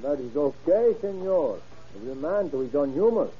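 An actor playing a cowardly, wary Mexican smuggler who pleads and philosophizes, in old-time radio drama style. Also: male voice, a little high, sing-song, moderate pace, warm tone. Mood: easygoing, wary. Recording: muffled old radio recording.

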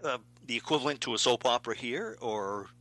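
Casting: male interviewer, bright forceful tone, friendly with frequent short agreements. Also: mid-range voice, fairly quick, no room sound, faint music behind, poor audio. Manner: friendly, backchannels often. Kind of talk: casual interview conversation.

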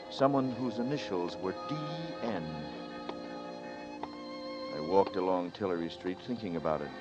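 A tense, brooding amnesiac narrator. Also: male voice, lowish voice. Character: dazed amnesiac man narrating his ordeal. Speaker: narrator and lead. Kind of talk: 1940s radio drama narration. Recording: muffled old radio broadcast with music.

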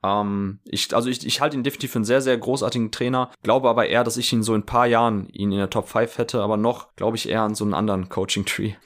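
A man's voice: on the low side, steady tone, fast, hardly any pauses. A man giving casual sports analysis as a podcast guest, wordy and informal, with plenty of English jargon.

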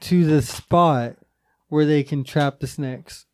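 A man's voice: fairly high pitch, slow. A man co-hosting a podcast in casual, profane banter, reasoning things out and explaining as he goes. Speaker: podcast co-host discussing a movie.

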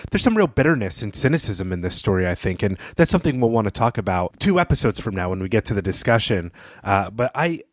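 A male podcast co-host with a slightly low voice, captured close and dry with little room sound. His delivery is casual, conversational book talk. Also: very animated, quick pace, dry recording. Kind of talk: casual literary podcast discussion.

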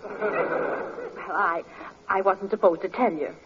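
A woman's voice: mid-range voice, slow pace, a bit bright, long reverb tail. A woman playing a patient, soothing middle-aged wife and mother in a 1940s radio comedy. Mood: placating. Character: patient, placating housewife and mother. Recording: dull, noisy old radio recording.

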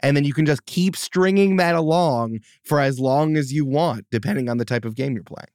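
A man, a game master and podcast guest, explaining with enthusiastic, quick asides in casual chat. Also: a little high, a little mellow, no room sound, studio quality.

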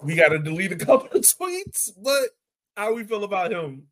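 A man's voice: high-pitched, very animated, normal speed, a bit bright. Casual man hosting a sports podcast. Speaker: podcast host.

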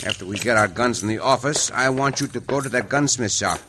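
An actor playing a courteous, commanding cowboy hero with a Mexican accent and formal phrasing, in an old-time radio western. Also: male voice, medium pitch, a little fast, very noisy, close to an everyday voice. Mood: cool, urgent.

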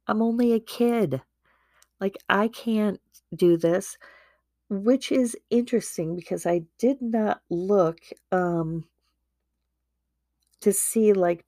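A woman's voice: fairly low pitch, gravelly, mellow, no echo, studio quality. A woman hosting a podcast with a stuffy nose from a starting cold, talking about faith in a chatty, earnest way full of fillers and asides. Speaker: podcast host. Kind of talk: casual devotional talk.

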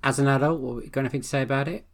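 A man's voice: mid-range voice, steady tone, racing. A man co-hosting a podcast, asking questions in a casual, dry and teasing way. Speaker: podcast co-host. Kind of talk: casual film podcast chat.

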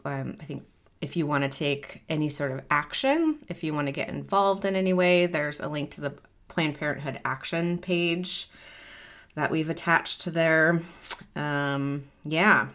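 Low-voiced woman podcast host. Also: fairly bright tone. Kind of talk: casual podcast talk.

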